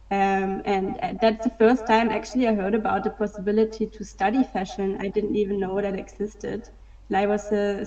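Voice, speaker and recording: A woman in her thirties tells her story slowly, pausing on lots of "um"s. Her voice is mellow and a little dark. It sounds distant and dull, as if she is far from the mic on a call. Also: medium pitch. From Germany. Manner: hesitant, many "um" fillers, soft laughs. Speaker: guest, digital fashion artist. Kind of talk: casual interview answers.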